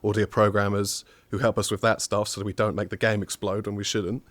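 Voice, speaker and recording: A man's voice: lowish voice, level tone, brisk, dry recording. Chatty young man with a southern English accent. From England, outside southwest London. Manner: chatty and upbeat, with fillers. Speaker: guest, technical audio designer. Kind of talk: casual interview chat.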